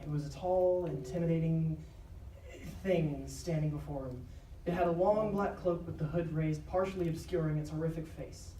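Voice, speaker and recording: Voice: medium pitch, steady tone, moderate pace, warm tone. A narrator reading scripted dramatic narration live on stage. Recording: echoey live stage, distant, clear noise.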